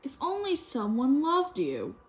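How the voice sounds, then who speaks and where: A woman's voice: high voice, huge pitch swings, measured pace, full character voice. A woman voicing a scheming, sneering young prince in a children's storybook. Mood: sneering, gloating. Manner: sneering, drawn out.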